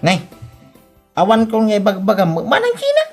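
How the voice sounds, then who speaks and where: A woman's voice: medium pitch, huge pitch swings, normal speed, over music. A woman playing a humble, easily flustered country suitor in a comedy audio drama, given to exclamations. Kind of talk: Ilocano comedy radio drama acting.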